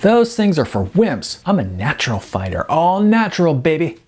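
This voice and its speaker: A man voicing a cocky, rambling young wannabe fighter in an audiobook, slangy, boastful and excitable. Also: a little high, normal speed, husky, voice pushed up, heavily acted. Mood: cocky bravado. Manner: slangy, boastful, excitable, hesitant filler words.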